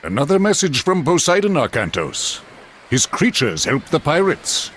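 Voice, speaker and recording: An actor playing an insistent, grave religious advisor in a mythological video game, urgent and portentous. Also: male voice, a little high, huge pitch swings, normal speed, a bit bright, a little hiss or hum. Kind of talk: video game cutscene acting.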